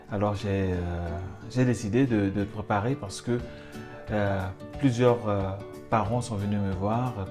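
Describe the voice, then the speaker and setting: A man from the Netherlands with a Dutch accent, speaking French, talking through a home cooking video with many hesitations and restarts. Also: fairly low pitch. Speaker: home cook.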